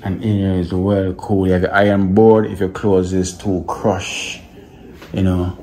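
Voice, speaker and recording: A man's voice: deep voice, a little slow, slight background noise. A man giving a casual walkthrough of a guest villa, talking straight to his viewers. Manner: relaxed, repeats himself, speaks to viewers as guys. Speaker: vlogger touring a guest villa.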